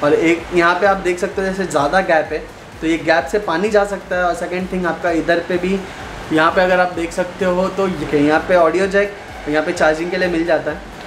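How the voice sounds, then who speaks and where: A man's voice: high-pitched, fairly even intonation, brisk. A young man chatting casually through a step-by-step how-to for his vlog, recorded indoors at a desk on a camera mic. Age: young adult. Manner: chatty, explains step by step to viewers. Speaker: motovlogger. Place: small room indoors at a desk. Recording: roomy with clear background noise.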